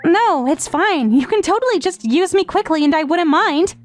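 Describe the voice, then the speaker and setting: A woman's voice: very high voice, lively intonation, normal speed, faint music behind. A woman playing a lovestruck, brazenly submissive teenage step-sister in an anime-style romantic comedy dub. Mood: earnest, pleading.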